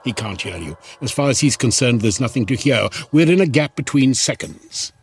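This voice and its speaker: A man's voice: mid-range voice, lively intonation, moderate pace, slight background noise, close to an everyday voice. An actor playing a devilish, smug tempter who stops time and toys with his victims in a sardonic, menacing radio drama style. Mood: cold, smug.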